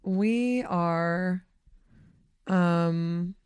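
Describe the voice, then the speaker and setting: A slightly hoarse woman co-hosting a podcast, chatting casually, swearing freely and filling with likes and ums. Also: lowish voice, extremely slow, darkish tone, dry recording, studio quality. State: a little hoarse. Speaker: podcast co-host. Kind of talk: casual chat.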